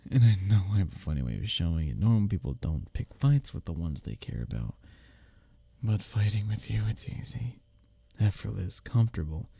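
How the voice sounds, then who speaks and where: A man acting as a smitten, teasing workplace rival in an intimate romantic roleplay, with a breathy, husky, halting delivery that often drops toward a whisper. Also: low voice, mellow, no room sound, heavily acted. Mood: tender, confessing.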